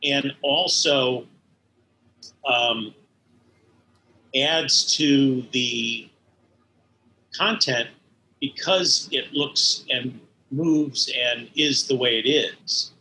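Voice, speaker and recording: Interview guest: mature man, bright forceful tone, thoughtful and halting, faint background noise. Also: mid-range voice, low-quality recording. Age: middle-aged or older. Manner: halting, thoughtful, searching for words. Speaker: interview guest, animation studio head. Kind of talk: conversational interview, reminiscing.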